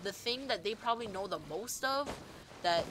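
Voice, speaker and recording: Gushing woman streamer, lively pitch. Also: normal speed, noisy background. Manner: gushing, rambling with many restarts and fillers. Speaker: game streamer. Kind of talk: rambling gameplay commentary. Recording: loud game music behind the voice.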